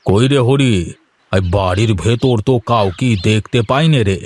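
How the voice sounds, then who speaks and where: A man's voice: on the low side, measured pace, slight background noise, close to an everyday voice. Man playing a bossy village master. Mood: impatient. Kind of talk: cartoon dialogue acting.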